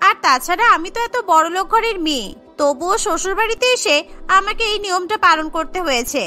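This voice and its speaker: A woman's voice: extremely high pitch, expressive, brisk, bright, forceful tone, faint music behind, heavily acted. A woman voicing a haughty, scheming elder sister-in-law in a cartoon, bossy and taunting. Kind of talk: cartoon voice acting.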